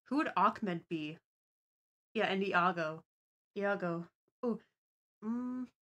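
Woman, livestream host, casual chat, medium pitch, slow and deliberate, thinking out loud. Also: lo-fi sound. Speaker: stream host.

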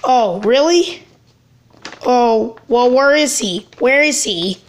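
A woman playing a helpful, halting cartoon superhero. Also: on the high side, lively intonation, slight background noise. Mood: helpful, calm. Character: casual, helpful cartoon superhero arriving as ambulance rescuer.

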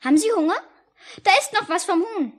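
A woman's voice: very high-pitched, animated, a little fast. A woman playing a chatty, naive and curious young girl in a 1950s radio play. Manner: artless, chattering.

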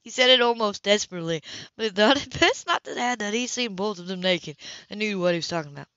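Female narrator for homemade fiction audiobook; expressive storytelling, close and dry, slightly muffled sound. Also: medium pitch, quick pace, no room sound. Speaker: amateur audiobook reader.

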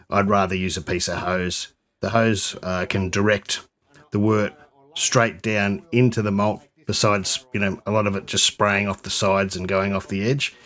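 A man narrates a voice-over in a low, steady voice. He talks plainly and conversationally, without fuss. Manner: plain, matter-of-fact, conversational. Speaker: home brewer.

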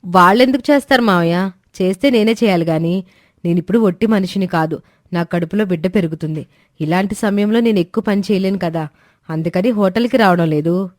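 A woman voicing a young daughter-in-law, respectful but plain-spoken, in an animated moral story. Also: on the low side, brisk, dry recording, studio quality, close to an everyday voice. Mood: politely defensive.

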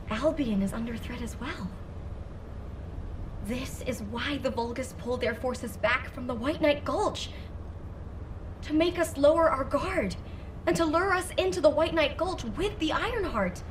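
A woman's voice: high voice, distant mic, background noise. A woman playing a kind, confident young female soldier in a video game, caring toward her teammates.

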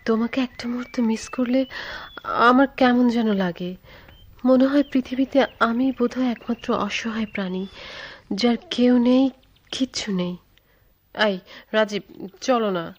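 A devoted, anxious young woman in a Bangladeshi radio drama. Her fairly high voice is earnest and pleading, heard through a slightly dull, lo-fi recording. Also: measured pace, long pauses. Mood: pleading, tender.

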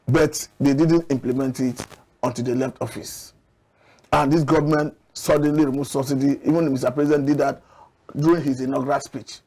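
Middle-aged man, studio guest, lapel mic, fairly high voice, measured and argumentative. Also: darkish tone, breathy edge, rough recording. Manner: measured, argumentative, occasional restarts. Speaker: public affairs analyst guest. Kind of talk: studio TV discussion. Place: TV studio set.

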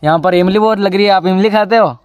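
A man's voice: sits high, fast talker, clean tone, dull audio. A young man from Rajasthan, India, vlogging casually outdoors on his farm with a lapel mic, chatting in a friendly way and asking a child questions. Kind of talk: casual village vlog.

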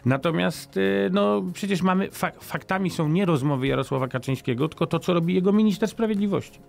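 Middle-aged guy on a radio show, kinda high gravelly voice, lots of restarts then gets insistent. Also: brisk. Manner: hesitant restarts, then emphatic and argumentative. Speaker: politician guest. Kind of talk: radio interview. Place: radio studio. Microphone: studio microphone on boom arm.

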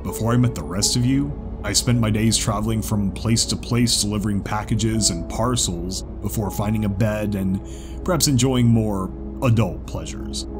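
A man voicing a reserved, awkward zebra wanderer in an audiobook drama, hesitant and measured. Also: fairly low pitch, natural voice. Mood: reflective. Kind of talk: audiobook character acting. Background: music.

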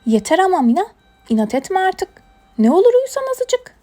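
A woman's voice: high-pitched, expressive, normal speed, dark tone, faint music behind. A woman voicing small, nagging animal housemates in a children's storybook, coaxing and bossy in an emphatic way.

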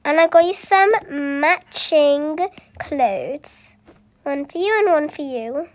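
A young-sounding speaker with a soft, childlike manner, acting out family dialogue in an improvised roleplay story. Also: high-pitched, lively intonation, slow pace. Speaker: voiced roleplay video creator.

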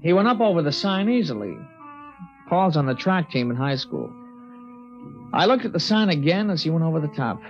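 An earnest teen boy narrator, high gravelly voice, reflective, somber, faint music, dull 1950s recording. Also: big pitch swings, moderate pace, long pauses. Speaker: actor. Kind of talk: 1950s radio drama narration and acting. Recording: dull old radio recording with music bed.

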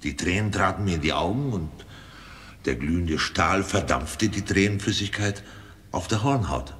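An actor playing a brave, resolute Russian courier of the Tsar in a German radio play, solemn and declamatory. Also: male voice, sits low, lively intonation, normal speed, a bit bright. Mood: solemn, composed.

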